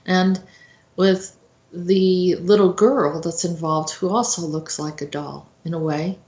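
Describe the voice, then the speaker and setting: Woman, slightly low voice, informal commentary, reflective and halting, echoey recording. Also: fairly even intonation, darkish tone, dull audio. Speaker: host commentator. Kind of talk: informal explanatory talk, interpreting a poem. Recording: roomy, echoey sound.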